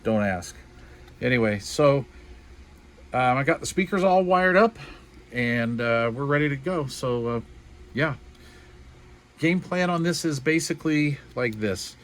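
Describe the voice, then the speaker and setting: A man's voice: mid-range voice, expressive, unhurried, long pauses, a bit bright. A man giving a casual, unscripted hobbyist show-and-tell in a folksy, colloquial, self-deprecating way. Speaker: hobbyist showing a DIY radio build.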